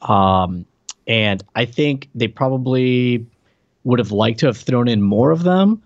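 A man's voice: mid-range voice, animated, slow pace, dry recording. A man co-hosting a podcast, chatting casually and wryly in a conversational game review style. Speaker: podcast host. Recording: dull, dry lo-fi mic sound.

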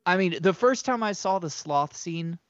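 A man with a high-pitched voice co-hosting a podcast in easy, casual chat. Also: lively intonation, normal speed, a bit bright, dry recording, highs cut off. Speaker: podcast co-host.

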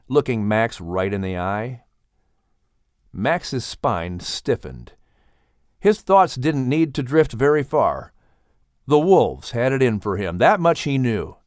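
A man's voice: medium pitch, smooth, no echo. Male audiobook narrator, dry close sound. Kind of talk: scripted story narration.

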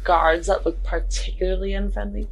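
A woman's voice: medium pitch, fairly even intonation, moderate pace, low-quality recording. A woman playing a tabletop game, chatting casually in and out of character. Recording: audible background noise.

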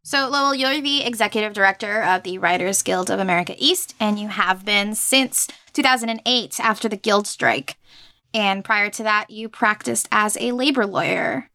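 A woman's voice: medium pitch, normal speed, sharp tone, no room sound. A woman hosting an interview, asking prepared questions in an articulate, courteous way. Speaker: interview host.

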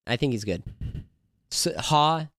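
A man's voice: medium pitch, animated, a little slow, a bit bright, no echo, clean studio sound. An American man co-hosting a sports podcast, chatting casually in a blunt, slangy, exasperated fan's way. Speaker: podcast co-host. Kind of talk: casual sports fan chat.

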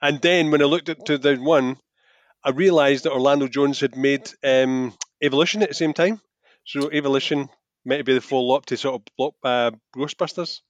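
A man's voice: mid-range voice, fairly even intonation, a little fast, no echo, muffled recording. A man co-hosting a film podcast, chatting casually and chipping in with easygoing banter. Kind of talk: casual chat.

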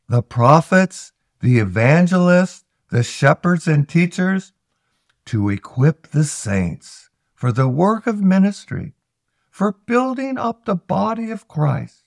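A man reading scripture aloud in a solemn, deliberate, declamatory way with dramatic pauses. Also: sits high, vocal fry, darkish tone, no room sound, studio quality. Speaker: scripture reader.

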